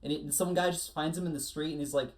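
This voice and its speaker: A man's voice: fairly high pitch, steady tone, quick pace. A man co-hosting a casual panel discussion, telling things in a chatty, informal way. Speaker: podcast co-host.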